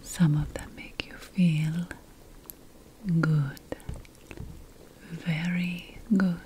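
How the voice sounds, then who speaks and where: A woman voicing a sly, conspiratorial potion-making witch in an ASMR fantasy roleplay, whispering slowly and deliberately with dramatic pauses. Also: fairly low pitch, warm tone, a little hiss or hum. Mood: hushed, confiding.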